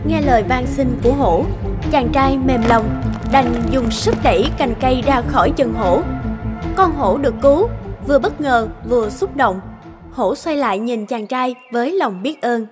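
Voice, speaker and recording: A woman's voice: high-pitched, moderate pace, loud music behind the voice. A woman narrator reading a scripted fairy tale as a storyteller.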